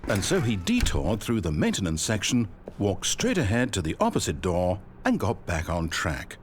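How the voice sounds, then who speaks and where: A man's voice: on the low side, huge pitch swings, moderate pace, background noise. An actor playing a wry, sardonic male video game narrator who teases the player with dry mock praise. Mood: mock admiring.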